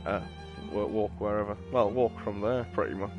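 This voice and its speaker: A man commentates casually on a game. His voice is on the low side, and he rambles in a slangy, matter-of-fact way. Music plays clearly behind him. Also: steady tone, normal speed, lo-fi sound. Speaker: game streamer. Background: game music and sound effects.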